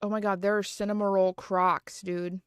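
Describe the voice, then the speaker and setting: A woman's voice: mid-range voice, little pitch movement, moderate pace, clear, resonant tone, dry recording, studio quality. A woman livestreaming from home, chatting casually and rambling with lots of fillers and restarts. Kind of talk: casual chat.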